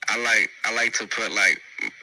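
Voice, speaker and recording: A man's voice: fairly low pitch, brisk, bright, forceful tone, dry recording, low-quality recording. A teenage male rapper from Venezuela answering interview questions in a laid-back, slangy way with mumbled restarts. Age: teens. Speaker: rapper guest.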